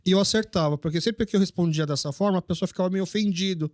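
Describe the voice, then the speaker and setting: A man hosts a relaxed sit-down conversation. He has a notably high voice for a man. He tells his stories with lively, acted-out dialogue, and the sound is close and dry. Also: fast, no room sound. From Brazil. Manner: animated storyteller who acts out dialogue. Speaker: podcast host. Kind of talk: casual conversational interview.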